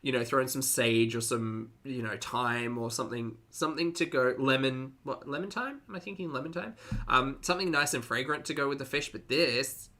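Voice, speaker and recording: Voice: medium pitch, a little fast, sharp tone. A reaction commentator talking casually and off the cuff, with an incredulous, amused and sweary manner.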